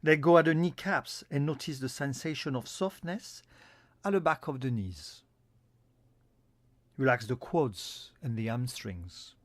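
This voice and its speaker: A man giving a guided yoga nidra meditation as an instructor, in a calm, soothing, instructional manner. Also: medium pitch, measured pace, no echo.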